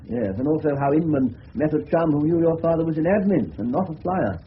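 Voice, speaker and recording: Genial animated English detective played by an actor. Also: male voice, on the high side, a little fast, natural voice. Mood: genial, apologetic. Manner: hesitant, courteous, explanatory. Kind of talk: 1970s British radio drama acting. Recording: muffled old radio recording with hiss.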